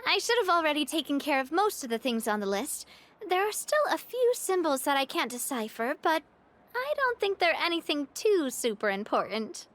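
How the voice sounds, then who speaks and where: A woman's voice: sits high, very animated, moderate pace, fairly bright tone. A woman playing a cheerful, confident young lady leader in a video game, easygoing and frank. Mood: cheerful, casual.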